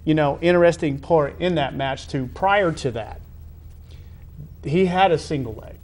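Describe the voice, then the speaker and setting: A man hosting a sports interview, asking long conversational questions in halting, wordy phrasing with repeated words. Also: a little high. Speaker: interview host.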